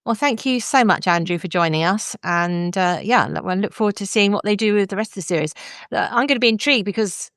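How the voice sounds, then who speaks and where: A woman's voice: medium pitch, fast, fairly bright tone, no room sound, clean studio sound. A woman hosting a podcast in a conversational presenting style. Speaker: podcast host.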